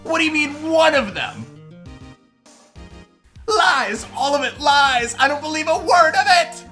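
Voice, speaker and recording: An excitable young dude pushed up high and swinging wildly in pitch. He is indignant, slangy and melodramatic, a whiny video game defendant with music behind him. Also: measured pace, full character voice. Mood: outraged, wailing. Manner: loud, dramatic, slangy. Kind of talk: video game fan dub.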